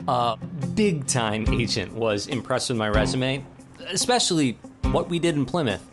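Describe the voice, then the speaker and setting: A man's voice: medium pitch, close to an everyday voice. An actor playing a young man, a hopeful theater director, who talks with his father and narrates in an earnest, reflective way. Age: young adult. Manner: earnest, reflective, laughs easily. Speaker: narrator and lead character Sam. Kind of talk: audio drama acting and narration.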